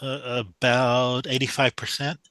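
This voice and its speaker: Guy acting as eager humble student in a sci-fi radio play, bright tense voice, dry close sound. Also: medium pitch, flat delivery, unhurried, dry recording, close to an everyday voice. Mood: careful reasoning. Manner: earnest, deferential. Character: earnest, humble young mathematician pupil, uneasy.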